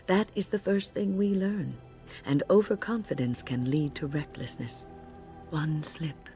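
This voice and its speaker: A creaky, soft-toned earnest woman mage. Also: deep voice, soft background music. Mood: grave, haunted. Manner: measured, grave, reflective. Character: earnest, thoughtful woman mage haunted by fear of possession. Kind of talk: video game dialogue acting.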